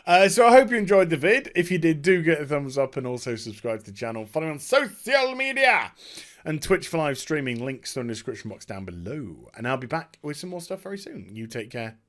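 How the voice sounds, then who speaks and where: A man with a fairly high voice that rises and falls a great deal talks unscripted as a gaming YouTuber. He is effusive and overwhelmed, repeating phrases and halting as he searches for words. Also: big pitch swings, a bit bright. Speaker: video game YouTuber and streamer. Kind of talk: unscripted rambling game review chat.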